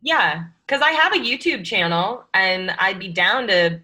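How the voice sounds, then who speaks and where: Bright-voiced woman guest on a video call. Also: mid-range voice, lively intonation, a little slow. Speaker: podcaster and energy coach, guest. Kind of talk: casual interview chat.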